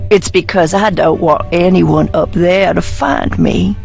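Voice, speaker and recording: A woman playing an unhinged, eccentric young woman in a video game, with dramatic drawn-out phrasing and sudden swerves. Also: fairly low pitch, fairly even intonation, vocal fry, a little mellow, faint music behind. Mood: coy, theatrical.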